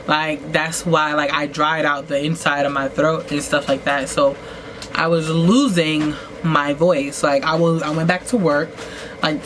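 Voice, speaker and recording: A woman talking casually as she gets ready, rambling with lots of "like"s and restarts and jumping up in pitch for emphasis. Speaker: vlogger. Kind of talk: casual storytelling while getting ready. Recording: noisy home recording.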